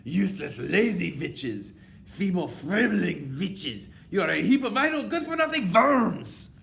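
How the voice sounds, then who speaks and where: A man's voice: mid-range voice, expressive, a little slow, vocal fry, a bit bright, voice pushed up. A man voicing a tyrannical, furious female leader of witches in a storybook, shrieking commands and rhetorical questions. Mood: furious, contemptuous. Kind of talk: children's storybook read-aloud.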